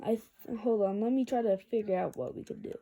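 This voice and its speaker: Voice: medium pitch, brisk, mellow. A casual amateur reviewer talking informally and a little hesitantly in a rambling product review vlog. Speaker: video reviewer.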